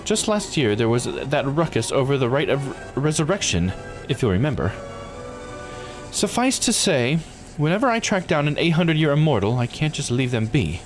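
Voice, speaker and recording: A man voicing a composed, blunt law officer in a visual novel, explaining things in a measured, formal way. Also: medium pitch, sing-song, soft background music, lightly acted. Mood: firm, explanatory.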